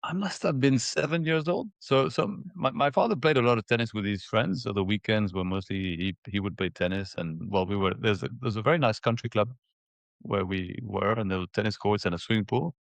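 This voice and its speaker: A man in his forties from Ethiopia and Mauritius reminiscing in a casual interview, haltingly, repeating words as he thinks. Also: lowish voice, vocal fry, no echo. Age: 40s. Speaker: interview guest, former professional tennis player.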